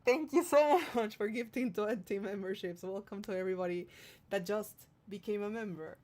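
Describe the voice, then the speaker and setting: A woman's voice: medium pitch, normal speed, darkish tone. A woman hosting a livestream, reading out viewer comments and chatting casually in a playful, affectionate way. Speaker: streamer hosting a livestream, singer-songwriter.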